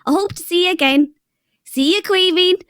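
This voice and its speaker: Tough young robber girl, Irish, high and very animated, dry close sound, children's audio drama. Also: moderate pace, dry recording. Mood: excited, challenging.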